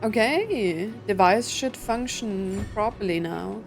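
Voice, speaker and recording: A woman's voice: medium pitch, slow pace. A woman giving casual let's-play commentary, muttering reactions and chatty asides as she plays. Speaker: game streamer playing through a story quest. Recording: faint game music behind.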